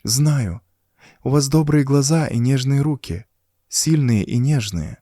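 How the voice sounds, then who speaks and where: A man voicing a frightened, bitter young woman in an audiobook, speaking in a plaintive, soft, emotional way. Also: medium pitch, measured pace, no room sound, clean studio sound, pitched above the performer's own voice. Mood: tender. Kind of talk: audiobook character dialogue.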